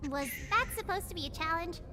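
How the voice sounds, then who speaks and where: A small, cocky girl adventurer in a fantasy video game. Her very high, bright voice swoops playfully through unhurried, teasing battle taunts, with faint music behind. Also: lively intonation. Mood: triumphant. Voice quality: bright, childlike. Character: cheeky confident young girl fighter in fantasy game.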